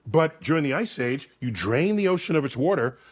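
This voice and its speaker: Animated male science explainer, husky voice. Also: on the high side. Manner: emphatic, theatrical storytelling with dramatic pauses and check-in questions.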